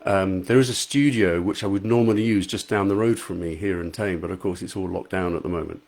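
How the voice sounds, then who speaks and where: A man with a soothing, fairly low voice chats casually to viewers on a live stream. He answers their comments with a self-deprecating warmth of manner, speaking into a good microphone in a small, untreated room at home. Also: fairly quick. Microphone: high quality microphone.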